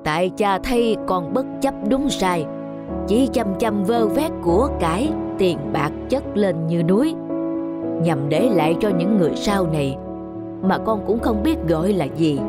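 A woman voicing a grave, wise old father who questions and moralizes in a measured way in an animated folk tale. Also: fairly low pitch, over loud music. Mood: grave reproach.

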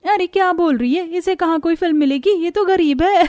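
A woman puts on a sneering young village gossip for a cartoon tale. The voice is very high and teasing, mocking the poor, with music underneath. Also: unhurried, a little mellow, no echo.

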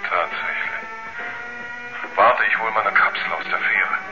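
An actor playing a puzzled, sceptical astronaut on the moon in a 1970s German radio play. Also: male voice, fairly low pitch, fairly even intonation, a little slow, music in the background, close to an everyday voice. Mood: brisk, doubtful. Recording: muffled 1970s radio recording.

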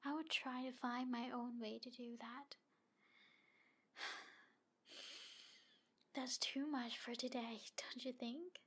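A jealous demon woman, acted by a woman in an intimate ASMR roleplay. Her voice is high and slow, breathy and halting, pleading with obsessive devotion and often sinking toward a whisper. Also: steady tone, fairly bright tone, exaggerated voice. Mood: quietly menacing.

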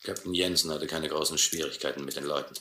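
An actor playing a hard, sardonic sea captain in a radio play, speaking in slow, terse phrases. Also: male voice, rumbling low, steady tone, sharp tone. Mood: dryly sardonic. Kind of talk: German radio play acting. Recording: roomy, noisy old radio play recording.

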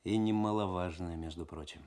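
A man's voice: sits low, a little fast, a little mellow, voice pushed down, lightly acted. Guy reading as young cop, keen and a bit teasing, radio story. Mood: playful. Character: keen, teasing young male police detective.

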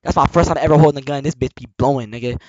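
A young man live-streaming a role-play game, talking in excited, boastful, repetitive street slang with lots of swearing. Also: medium pitch, racing, no echo, lo-fi sound.

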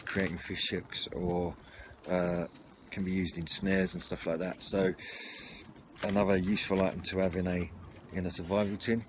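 A man giving an unscripted, matter-of-fact walkthrough of gear, speaking haltingly, recorded outdoors at a table on a camera mic. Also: deep voice. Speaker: presenter of outdoor gear videos. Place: outdoors at a wooden garden table by a house. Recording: noisy outdoor camera audio.

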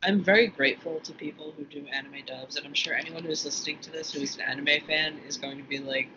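A man's voice: on the high side, fairly even intonation, quick pace. A young man co-hosting a podcast, chatting casually and laughing often while rambling, over a headset video call at home. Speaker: podcast co-host. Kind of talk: casual chat. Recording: dull call audio with faint hiss.

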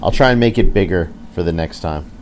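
A man's voice: sits low, fairly quick, a little mellow, slight background noise. A man giving casual live commentary while he streams a video game. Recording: game music behind the voice.

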